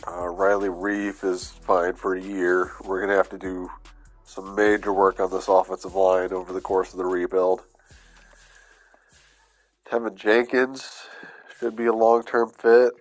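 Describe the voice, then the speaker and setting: A man's voice: low-pitched, flat delivery, a little slow, soft background music. A man with a stuffy, under-the-weather voice giving laid-back, casual commentary over a video game as he plays, thinking aloud with fillers. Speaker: gaming YouTuber.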